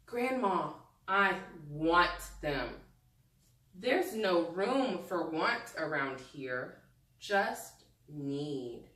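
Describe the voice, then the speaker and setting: A woman teacher reading a picture book aloud to young children, pausing to prompt them with questions and think out loud. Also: on the low side, expressive. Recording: echoey room, distant microphone.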